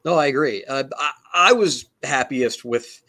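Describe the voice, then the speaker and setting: A man's voice: medium pitch, animated, measured pace, bright, forceful tone. A man chatting casually as a panelist in a discussion among fans. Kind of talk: casual fan chat.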